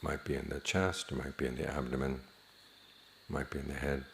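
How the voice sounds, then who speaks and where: A man teaching a guided meditation in a measured, plain-spoken manner, leaving long pauses between phrases. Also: extremely low pitch, fairly even intonation, gravelly, fairly bright tone, a little hiss or hum.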